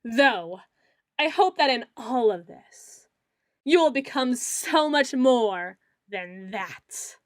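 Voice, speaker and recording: A woman playing a melodramatic self-styled female dark lord in an ASMR roleplay, pompous and theatrical, grandiose yet a little awkward. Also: a little high, expressive, slow pace, dry recording, studio quality. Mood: imperious, scheming. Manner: pompous, theatrical, mock-archaic phrasing with awkward hedging.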